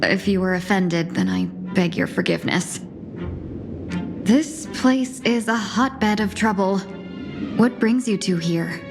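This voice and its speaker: A woman's voice: medium pitch, slow, creaky. An actress playing a sly young fox woman with a playful, theatrical, bluffing way of talking, in a game. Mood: grateful, polite. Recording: game audio with music. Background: game music.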